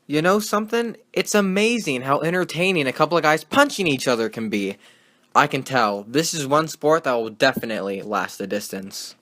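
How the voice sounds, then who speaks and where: A man's voice: a little high, animated, normal speed, pushed and bright. A man playing a skeptical, wisecracking 1920s radio sports host, with folksy period slang and a sardonic manner. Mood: cocky.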